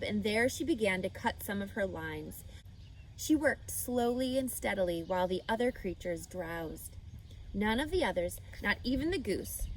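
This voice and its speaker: A woman's voice: medium pitch, slight background noise, rough recording. A woman reading a children's book aloud expressively, now and then speaking to the listener. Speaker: storyteller.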